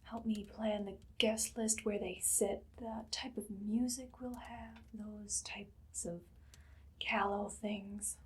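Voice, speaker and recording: A woman playing an evil, menacing queen with dry humor in a soft, whispered ASMR roleplay, giving slow commands. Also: medium pitch, steady tone, breathy edge. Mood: haughty, controlled.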